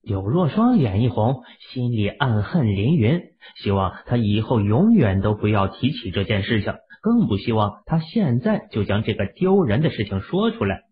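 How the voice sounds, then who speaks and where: Male narrator, low voice, animated delivery, audiobook, tinny phone-like sound. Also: moderate pace, hardly any pauses. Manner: expressive storytelling.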